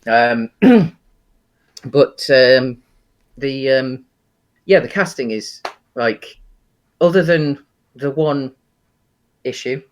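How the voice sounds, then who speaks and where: A man co-hosting a casual film discussion podcast, talking enthusiastically in a hesitant, halting, rambling way. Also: medium pitch, lively intonation. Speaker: podcast host.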